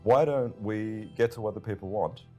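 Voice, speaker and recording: A man's voice: lowish voice, normal speed, darkish tone, faint music behind. A middle-aged man presenting a promotional stream in a scripted style, indoors. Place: furnished lounge set indoors.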